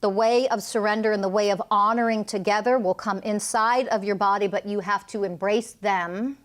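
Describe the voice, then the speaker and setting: A woman's voice: medium pitch, fairly even intonation, moderate pace. A woman energy healer guiding a client through a healing session, commanding and intense, with blunt swearing.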